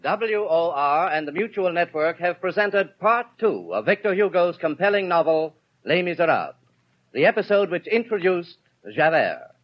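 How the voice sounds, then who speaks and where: A man's voice: fairly high pitch, very animated, moderate pace. A man reading a scripted announcement in the formal style of a 1930s radio announcer. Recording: dull 1930s radio recording.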